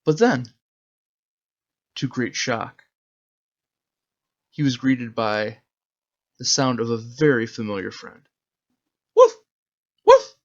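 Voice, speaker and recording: Man, dramatic storybook narrator, creaky voice, slightly muffled recording, music behind. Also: mid-range voice, takes it slowly, pauses often. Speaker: author reading his own zine.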